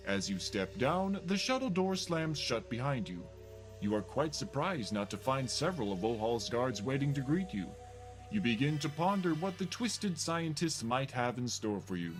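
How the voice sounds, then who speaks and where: A man's voice: mid-range voice, sing-song, normal speed, over music, natural voice. A man voicing the sardonic narrator of an adventure video game, reading scripted narration with dry, mocking asides. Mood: wry.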